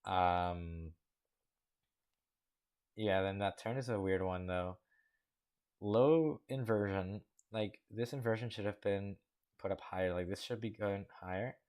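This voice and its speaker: A man's voice: fairly low pitch, a little slow, long pauses, a little mellow. A young man hosting a casual contest review, rambling through frank critique with many fillers. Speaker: host judging a coaster-building contest. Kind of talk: casual commentary over gameplay.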